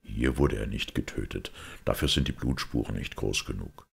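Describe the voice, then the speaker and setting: A man voicing a calm, shrewd priest detective who reasons deliberately, with mild irony, in an audiobook story. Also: extremely low pitch, gravelly, breathy edge, exaggerated voice. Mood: musing, reasoning. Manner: deliberate, reasoning, quietly ironic.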